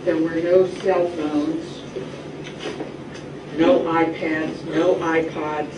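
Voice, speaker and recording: A woman's voice: low-pitched, little pitch movement, very slow. A woman guest speaker giving an educational talk to students. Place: classroom food lab, replayed on screen. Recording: distant echoey re-recorded playback, noisy room.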